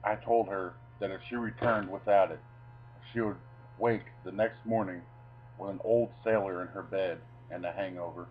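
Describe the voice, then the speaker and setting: A man with a low voice delivers his own short-story material in a deliberate, theatrical manner. The sound is dull and lo-fi, with faint guitar music playing behind him. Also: fairly even intonation. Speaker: video creator reading own short stories. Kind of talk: dramatic reading of a comic short story.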